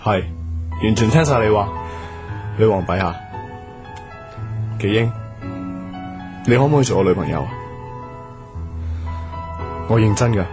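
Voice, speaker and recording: An actor playing a cheeky, roguish but devoted boyfriend in a radio drama, speaking glib, playful Hong Kong Cantonese. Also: male voice, lowish voice, expressive, unhurried, long pauses. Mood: sincere, tender. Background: background music.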